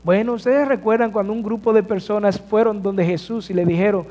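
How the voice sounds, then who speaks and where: High-voiced pastor preaching in a hall. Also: male voice, steady tone, brisk, warm tone, highs cut off. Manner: addresses congregation with rhetorical questions. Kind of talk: sermon. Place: church sanctuary. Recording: church hall reverb.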